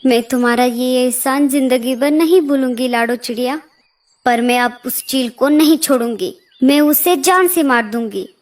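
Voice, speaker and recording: A woman's voice: high voice, moderate pace, close to an everyday voice. A woman voicing a grieving, vengeful mother bird with dramatic, distraught delivery in a children's cartoon story. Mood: grateful, vengeful.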